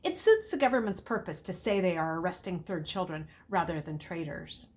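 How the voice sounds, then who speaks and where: A woman's voice: lowish voice, animated, moderate pace, a little mellow, a little hiss or hum, lightly acted. A woman voicing a grim, harsh old male schoolmaster in a storybook, speaking emphatically.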